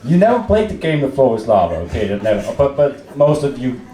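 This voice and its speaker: A young man from Austria with an Austrian accent, German his first language, performing a deadpan, self-mocking stand-up set with many fillers and restarts. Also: mid-range voice, a little fast. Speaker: stand-up comedian. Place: comedy club stage. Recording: roomy club sound from a distant mic, loud crowd.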